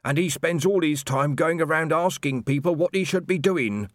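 A dutiful young constable, as an audiobook reader puts him on, lifting his voice well above the reader's own with stubborn politeness. The words come out measured and insistent, each one capped with a formal sir. The sound is close and dry. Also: on the high side, no echo, voice pushed up, natural voice. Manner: dogged, formally polite, ending lines with "sir". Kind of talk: audiobook character voice.

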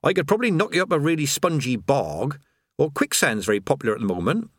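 A man's voice: on the high side, sing-song, quick pace, no room sound, clean studio sound, pitched above the performer's own voice. A man voicing a chatty, rambling world-maker. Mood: breezy. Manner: chatty, rambling, casual workman's talk. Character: cheerful absent-minded male creator of worlds, chatty tradesman.

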